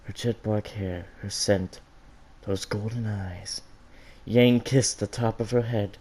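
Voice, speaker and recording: A man reading fan fiction aloud as an amateur, halting and stumbling over words, with joking asides. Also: on the low side, fairly even intonation, darkish tone, breathy edge. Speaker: reader of fan fiction for an online audience.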